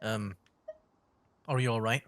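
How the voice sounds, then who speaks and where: A man's voice: on the low side, steady tone, unhurried, a bit bright, no room sound. A man streaming a video game, giving casual, offhand commentary. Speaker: streamer, gamer.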